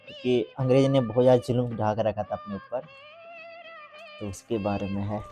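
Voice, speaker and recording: A man from Bihar gives casual, informal vlog commentary, reading English phrases aloud haltingly. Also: mid-range voice, warm tone. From Bihar, India. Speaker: vlogger.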